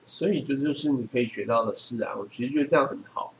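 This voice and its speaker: A man with a Taiwanese accent speaks at a very quick pace in casual conversation. He has an explanatory, advising way of talking, and there is faint noise in the background. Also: medium pitch, low-quality recording. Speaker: podcast co-host. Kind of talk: casual chat.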